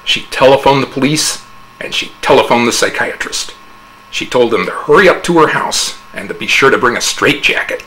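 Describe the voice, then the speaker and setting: A man narrating a humorous fable as a dramatic oral interpretation, with theatrical, measured storytelling. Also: mid-range voice, bright, forceful tone, low-quality recording.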